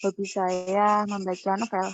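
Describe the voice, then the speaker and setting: A woman's voice: mid-range voice, flat delivery, measured pace, highs cut off. A young woman, giving brief, polite introductions and replies as a group participant. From Yogyakarta, Indonesia.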